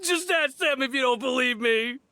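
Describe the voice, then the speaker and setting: An actor playing a frightened, cowardly desert bandit in a video game, stammering and pleading. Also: male voice, very high-pitched, normal speed, sharp tone, dry recording, heavily acted. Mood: desperate pleading.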